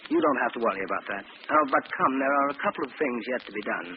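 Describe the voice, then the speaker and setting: An actor playing a cold, condescending villainous scientist in an old-time radio mystery. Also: male voice, mid-range voice, lively intonation, racing, lightly acted. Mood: calculating. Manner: smooth-talking, condescending. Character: cold, scheming villainous male scientist, smugly menacing. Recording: muffled, noisy old radio recording.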